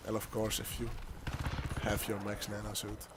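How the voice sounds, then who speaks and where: A man's voice: lowish voice, monotone, moderate pace. A young Dutch man with a Dutch accent, speaking English as a second language, giving rambling, hesitant commentary over his gameplay footage. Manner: rambling, hesitant, many restarts. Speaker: gaming YouTuber. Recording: game gunfire and noise behind.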